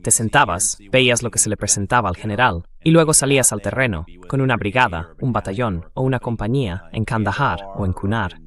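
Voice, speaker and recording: A man's voice: on the low side, fairly quick, hardly any pauses, a bit bright. A synthetic AI man's voice reading a translated voice-over of political interview commentary in a conversational style.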